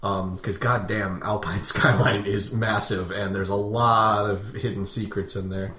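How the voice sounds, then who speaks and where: A man co-hosting a podcast, chatting casually and haltingly about video games, with some swearing. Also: on the low side, fairly even intonation, sharp tone. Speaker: podcast co-host. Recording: roomy echo, faint background noise.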